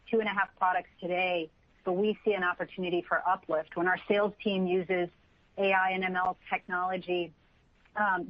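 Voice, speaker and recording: A woman finance executive explaining business results on an earnings call in a measured, analytical corporate manner. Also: medium pitch, dry recording. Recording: muffled dry conference phone line.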